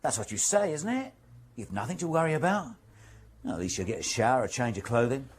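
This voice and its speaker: An actor playing a determined police detective in a radio drama, firm, insistent and deliberate in his persuasion. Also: medium pitch, very animated, slight background noise, close to an everyday voice. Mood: cool, needling. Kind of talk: naturalistic radio drama acting.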